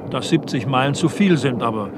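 A man plays a brisk, cheeky police assistant in a mid-century crime radio drama. His mid-range voice competes with loud vehicle noise. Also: mid-range voice, expressive, close to an everyday voice. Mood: excited, sceptical. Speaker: actor in a crime radio play. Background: car driving.

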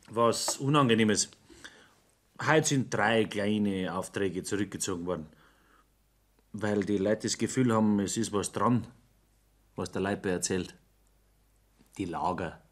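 A man's voice: fairly low pitch, measured pace, long pauses. An actor with a Bavarian accent playing an obliging, self-justifying foreman in a 1960s German radio play. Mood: sober. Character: obliging construction foreman, self-justifying subordinate to his boss.

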